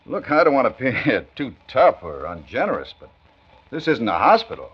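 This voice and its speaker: An actor playing a brisk, skeptical, proud male factory manager with a blunt businesslike manner in a 1940s radio drama. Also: medium pitch, wildly swooping pitch, breathy edge, heavily acted. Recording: muffled old 1940s radio recording.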